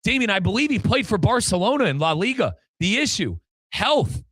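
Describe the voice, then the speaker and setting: A man hosts a sports talk show with a very bright, forceful voice set a little high. The sound is close and dry, and he leans on his words emphatically. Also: very animated, fairly quick, no echo. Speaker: sports radio show host and football play-by-play commentator. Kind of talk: animated sports talk with sponsor reads.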